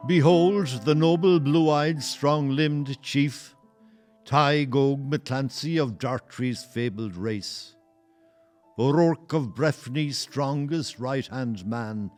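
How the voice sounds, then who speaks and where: A man's voice: mid-range voice, slow, a little mellow, soft background music, lightly acted. A man playing a solemn storyteller, giving dramatic, lyrical narration in an audio play. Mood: proud. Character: solemn storyteller narrating an old Irish tale.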